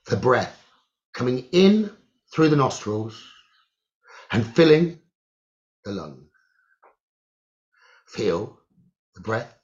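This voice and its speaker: Guy doing a guided meditation, slow short phrases w long gaps, pitch moves around a lot, kinda muffled lo-fi sound. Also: darkish tone. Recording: muffled, dull lo-fi audio.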